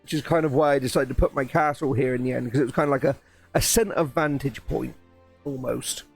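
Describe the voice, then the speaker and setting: A man chatting casually on a live stream, his voice a little croaky, rambling with restarts and sounding excited about his plans. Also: mid-range voice, a little fast, soft background music. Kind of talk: casual live-stream chat while building.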